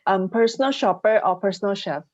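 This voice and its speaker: A woman's voice: mid-range voice, a little fast, a little mellow, no echo. A woman co-hosting a podcast, putting short, casual questions to a guest through a dull, lo-fi recording. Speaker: podcast co-host. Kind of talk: casual quick-fire questioning. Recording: dull lo-fi sound.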